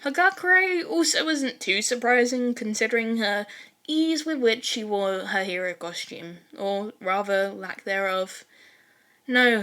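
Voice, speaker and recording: A woman narrating fan fiction as an expressive storytelling reader. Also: a little high.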